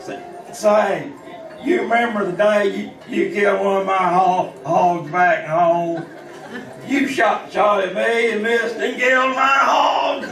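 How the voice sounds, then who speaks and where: An actor playing a plaintive backwoods farmer with a halting, stammering Ozark drawl in an old-time radio western. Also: male voice, sits high, crowd noise. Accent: Ozark hillbilly. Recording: roomy, distant vintage radio recording.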